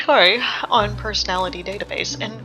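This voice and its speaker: A woman giving casual, analytical game reaction commentary, hesitant and thinking out loud. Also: medium pitch, rough recording. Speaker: reaction video host.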